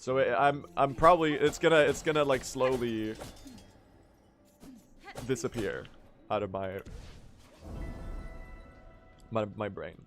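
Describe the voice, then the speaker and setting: A man's voice: mid-range voice, normal speed, long pauses, a bit bright, faint music behind. A man from Sweden, a native Swedish speaker, chatting casually with his viewers while he plays, rambling and correcting himself as he goes. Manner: rambling, self-correcting, chatty, mild swearing. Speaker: speedrunning streamer. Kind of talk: casual livestream chat while playing.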